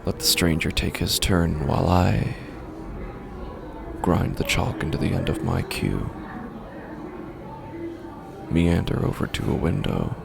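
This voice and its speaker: A man narrating a first-person horror story in a halting, uneasy way, often breaking off mid-sentence, over a music score. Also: low voice, expressive, vocal fry. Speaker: podcast narrator.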